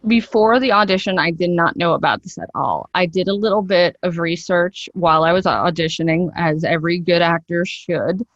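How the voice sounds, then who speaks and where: A woman's voice: on the low side, moderate pace, few pauses, no room sound, dull audio. A woman speaking conversationally as an interview guest, explaining things in a chatty, enthusiastic way. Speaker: interview guest, actress and voiceover artist.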